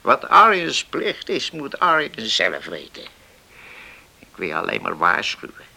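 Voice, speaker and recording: A man's voice: fairly low pitch, huge pitch swings, unhurried, vocal fry, bright, forceful tone, husky, exaggerated voice. A man acting an old seaman in a Dutch radio play, kindly and fatherly, hesitating and repeating his words as he gives counsel. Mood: grave, cautioning. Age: older.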